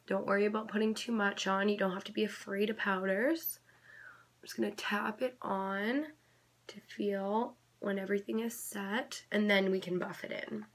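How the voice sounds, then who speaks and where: A young woman giving a casual makeup tutorial in a chatty, gentle instructional way, her voice a little off because she is coming down with something. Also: medium pitch, fairly even intonation, moderate pace. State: slightly sick, voice a bit off. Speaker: makeup vlogger.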